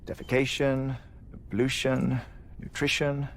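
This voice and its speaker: An actor playing an eccentric, melancholy, scholarly man in a video game, speaking formally and haltingly as he searches for his lost family. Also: medium pitch, flat delivery, creaky, a little hiss or hum. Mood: awkward, candid. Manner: measured, halting, wordy and formal. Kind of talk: video game cutscene acting.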